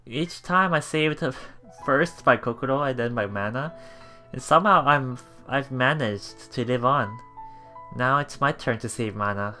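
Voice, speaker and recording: A let's player reading a visual novel aloud in a halting way, with emotionally invested asides. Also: mid-range voice, bright, forceful tone. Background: game music.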